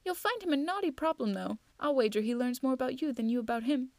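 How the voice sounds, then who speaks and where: A woman's voice: sits high, lively intonation, quick pace, a little mellow, no room sound. A woman voicing a wry, teasing male go-between in a storybook reading, with a light, lilting manner. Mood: wry, amused.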